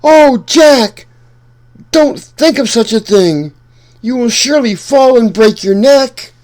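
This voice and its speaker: A man's voice: extremely high pitch, expressive, takes it slowly, a little mellow, pitched above the performer's own voice, exaggerated voice. A man voicing a poor, overworked widowed mother in a children's story, pleading and scolding in exclamations. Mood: alarmed. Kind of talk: children's storybook read-aloud.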